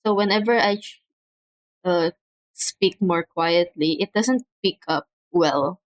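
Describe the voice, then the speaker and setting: A young woman from the Philippines, soft-spoken and hesitant, chatting casually on a home livestream through a cheap mic. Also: on the low side, slow pace, no echo. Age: young adult. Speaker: streamer. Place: home room. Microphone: cheap desk mic.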